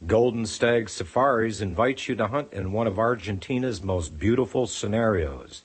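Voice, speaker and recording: A man reading a radio ad script in a measured, inviting way. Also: lowish voice, breathy edge. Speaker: ad announcer.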